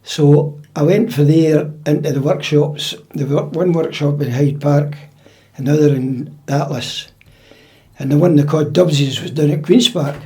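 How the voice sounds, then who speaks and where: Elderly Scottish male interviewee, measured storytelling, mellow timbre, slightly high register. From central Scotland. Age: older, about 80. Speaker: interviewee, piping-band drummer. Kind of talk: conversational life-story recollection. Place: indoors at home.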